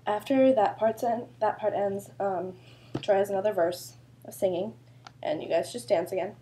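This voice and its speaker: A woman's voice: medium pitch, fairly even intonation, moderate pace, mellow, a little hiss or hum. A woman choreographer with a sore throat, explaining a dance routine in a chatty, loose way full of fillers. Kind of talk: casual dance instruction video.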